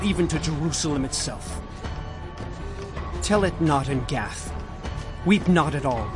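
A man's voice: fairly high pitch, natural voice. A man playing an impassioned prophet solemnly warning of doom in a dramatized audio Bible, with music behind him. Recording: music under the voice.

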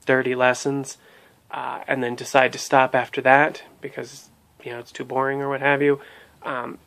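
Guy in his 30s talking to his webcam at home, kinda gravelly, lots of ums and restarts. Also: medium pitch, level tone, normal speed, a bit bright. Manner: hesitant, many ums and restarts. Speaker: language learning vlogger. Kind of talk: casual spoken review. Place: small room at home, indoors. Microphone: webcam at desk.

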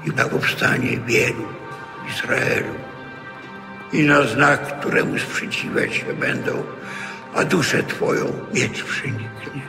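A frail, devout old man speaks with a heavily creaking voice. He is slow and reverent, like a solemn prophet in a scripture audio drama, and his words ring out in a long reverb. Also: medium pitch, full character voice. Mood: solemn, foreboding. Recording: long cavernous reverb under music.

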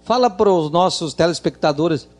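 A middle-aged man hosting a TV music show, speaking slowly and emphatically into a handheld mic on a rustic studio set. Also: on the high side, lively intonation. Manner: slow, emphatic presenter delivery. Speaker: TV show host. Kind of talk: TV interview hosting.

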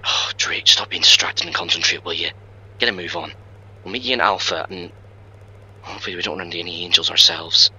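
A man plays a gruff, impatient crew leader in a sci-fi audio drama. His voice is low, husky and extremely forceful. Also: fairly even intonation, a little fast, exaggerated voice, poor audio. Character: gruff, impatient commanding crewman. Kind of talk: amateur audio drama acting.

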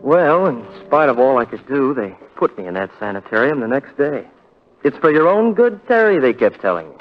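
A man's voice: medium pitch, sing-song, fairly quick, natural voice. An actor playing a bitter, self-pitying, paranoid young man in a 1940s radio melodrama, sarcastic and repeating himself. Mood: tense, dramatic. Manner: sarcastic, muttering repeats, dramatic. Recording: dull vintage 1940s radio recording.

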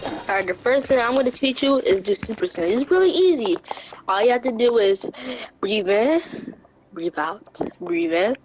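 A woman's voice: fairly high pitch, lively intonation, moderate pace, a little hiss or hum, close to an everyday voice, low-quality recording. A woman playing a bossy, impatient fighter father giving casual, repeated commands in an amateur game roleplay. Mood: instructive. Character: bossy, impatient anime fighter father teaching his son.

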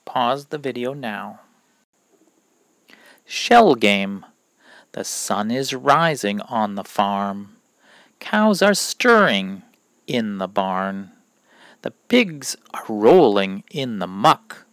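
Slow, expressive man reading to children. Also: fairly low pitch, no room sound. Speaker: read-aloud reader of a children's picture book. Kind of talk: children's story read-aloud of rhymes.

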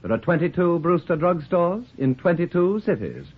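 A man's voice: on the high side, sing-song, normal speed, breathy edge. An actor playing a stuffy, earnest, humorless young man in a 1940s radio play, stiff and pompous in delivery. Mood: earnest, self-satisfied. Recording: muffled 1940s radio recording.

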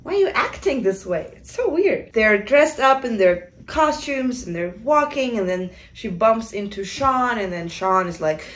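A woman's voice: fairly high pitch, big pitch swings, a little slow, hardly any pauses. A woman in her twenties giving a casual, exasperated and sarcastic film review to camera in a room. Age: 20s. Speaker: video commentator. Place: small room indoors.

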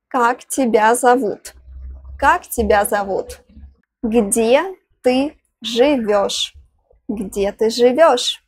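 A young woman, teaching phrases outdoors, saying each one twice, slowly and clearly, into a clip-on lapel mic. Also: fairly high pitch, no echo. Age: young adult. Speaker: language teacher. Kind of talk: slow phrase-by-phrase lesson. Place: outdoors in front of greenery.

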